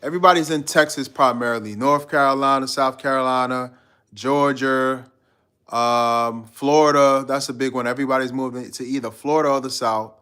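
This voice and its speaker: A man's voice: mid-range voice, fairly even intonation, moderate pace, bright, forceful tone, clear, resonant tone. A man from Guyana hosting a live stream, chatting loosely with his viewers in a slangy, rambling way and reading out their comments. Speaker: livestream host.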